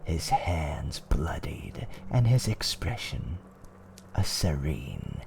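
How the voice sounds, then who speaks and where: A man playing a fantasy tavern owner, telling dark fireside tales in a hushed, dramatic roleplay ASMR style that often drops toward a whisper. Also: rumbling low, big pitch swings, slow pace, vocal fry, a little hiss or hum, full character voice. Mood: ominous, hushed. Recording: faint background ambience.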